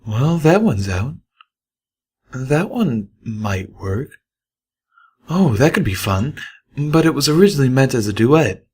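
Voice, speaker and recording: Man putting on a fretful, higher-voiced lady pony singer. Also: darkish tone, breathy edge.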